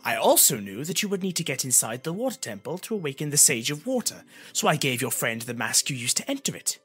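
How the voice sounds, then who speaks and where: A man's voice: medium pitch, big pitch swings, fast, fairly bright tone, breathy edge, faint music behind, pitched above the performer's own voice, heavily acted. A man voicing a solemn, foreboding male seer who speaks formally and emphatically in a fantasy story. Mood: urgent, grave. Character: grave, foreboding male time-travelling seer.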